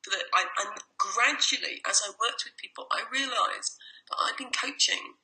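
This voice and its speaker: A woman's voice: a little high, moderate pace, sharp tone, low-quality recording. A woman with an English accent, a guest life coach chatting casually in an interview over a call, with many restarts. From Ireland. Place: home in a noisy city.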